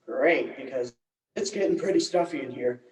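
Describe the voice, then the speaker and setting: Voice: mid-range voice, moderate pace, natural voice. Earnest, stubborn trapped mime, played by a teen for stage comedy; roomy, distant sound. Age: teenager. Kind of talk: school one-act comedy stage acting. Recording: echoey, distant school play recording.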